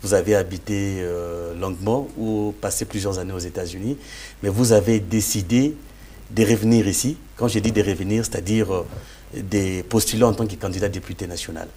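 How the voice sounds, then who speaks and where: A man's voice: sits low, brisk, mellow. A man with a Congolese accent hosts a formal interview show, addressing guest and viewers courteously. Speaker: talk show host, interviewer. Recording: clear background noise.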